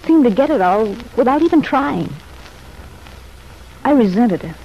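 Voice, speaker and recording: An actress playing a contrite, earnest young ex-wife in an old-time radio soap opera, speaking in a confiding, measured way. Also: female voice, a little high, lively intonation, highs cut off. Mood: confessional. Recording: noisy, band-limited vintage radio recording.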